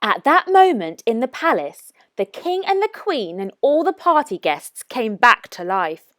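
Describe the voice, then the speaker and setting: Very animated, high-voiced woman reading a storybook. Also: no echo, studio quality. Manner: expressive, careful, deliberate phrasing. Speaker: children's storytime narrator. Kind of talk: scripted storybook read-aloud for young children.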